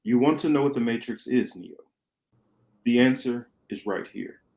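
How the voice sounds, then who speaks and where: A man plays a calm, wise captain of a rebel ship in an amateur radio play. His delivery is measured and explanatory, in a mid-pitched male voice. The room around him is echoey. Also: natural voice. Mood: measured, assured.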